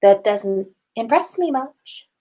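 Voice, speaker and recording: Woman putting on a high, dreamy teenage witch girl. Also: expressive, slow pace, mellow. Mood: dreamy teasing. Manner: dreamy, teasing.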